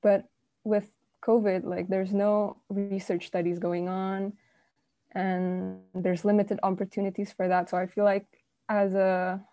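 A young woman, a university student, chatting casually with friends in a rambling way full of fillers, her voice croaky from the early morning. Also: medium pitch, flat delivery, unhurried, dark tone. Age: young adult. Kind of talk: casual group chat.

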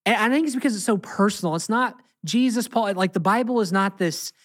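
A man's voice: high voice, lively intonation, fairly quick, fairly bright tone. An American man co-hosting a casual Bible discussion, speaking earnestly and emphatically, often repeating phrases for weight. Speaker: podcast co-host and Bible teacher.

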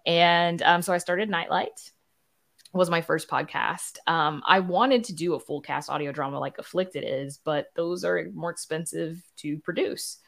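A woman with a low-pitched voice speaks as a guest in a casual interview. She tells her story as a podcast creator in a conversational, informal way. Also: fairly even intonation, measured pace, gravelly, a bit bright.

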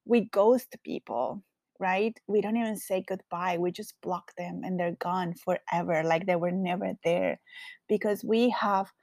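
A woman's voice: lowish voice, unhurried, a little mellow, no echo. A woman from Colombia with a strong Colombian Spanish accent, hosting a solo podcast in a reflective, candid way. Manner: reflective, candid, with frequent fillers. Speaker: podcast host.

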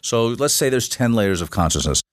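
A man's voice: medium pitch, lively intonation, no room sound, studio quality. A man speaking as a podcast guest, explaining at length with frequent restarts and fillers. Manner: halting, many restarts and fillers. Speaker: guest, shamanic facilitator. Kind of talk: casual podcast interview.

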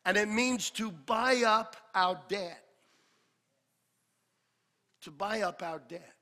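Pastor guy preaching loud, high bright voice, big echoey church hall. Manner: builds to shouted climaxes, then drops to a jokey aside. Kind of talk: sermon. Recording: long hall reverb.

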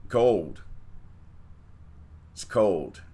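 A man's voice: on the low side, mellow, breathy edge. A man, a priest leading livestreamed morning prayer and chatting in a folksy way with viewers.

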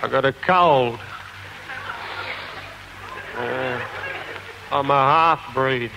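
Brief: droll Australian comic, slow and deadpan, heavy crackle of a 1940s broadcast. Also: male voice, mid-range voice, lo-fi sound. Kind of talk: 1940s radio variety comedy act. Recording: scratchy 1940s radio recording.

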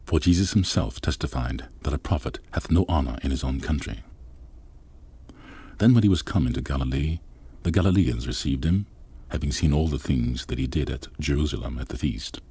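A man with a gravelly, husky voice reading scripture aloud in archaic wording. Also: a bass voice, moderate pace, dark tone. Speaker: Bible reader.

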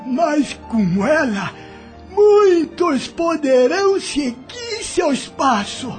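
A proud, defiant enslaved Brazilian man. His voice is very high and swings widely as he speaks in rustic, impassioned phrases. The delivery is extremely slow, with music playing underneath. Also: big pitch swings, exaggerated voice. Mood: resolute, protective. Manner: impassioned, rustic ungrammatical rural speech. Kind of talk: radio drama acting.

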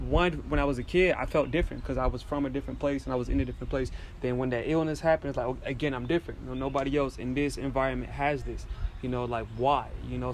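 A young man whose first language is French talks in a mid-pitched voice. He muses at length, looping back on his points and filling gaps with "you know". A faint hiss sits under the recording. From France. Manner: reflective, rambling, repeats "you know". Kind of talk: casual conversation.

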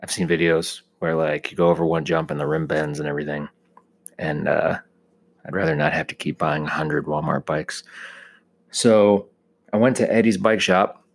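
Low-voiced American man, casual rambler. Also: fairly even intonation, normal speed, gravelly. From United States. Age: 30s. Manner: joking, self-deprecating exaggeration. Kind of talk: casual rambling solo monologue.

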